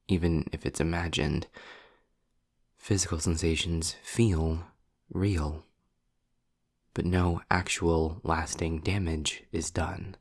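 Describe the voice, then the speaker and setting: A man playing a sardonic, world-weary demon in an intimate roleplay audio drama, deliberate and breathy, often dropping toward a whisper. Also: low-pitched. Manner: sarcastic, deliberate, sighing.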